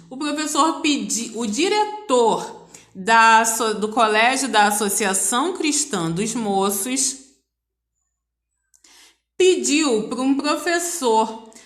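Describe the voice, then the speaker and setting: A woman's voice: a little high, fairly bright tone. A woman with a Brazilian accent giving a school lesson as a teacher, explaining didactically and repeating key points for emphasis. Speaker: physical education teacher. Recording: echoey, roomy sound.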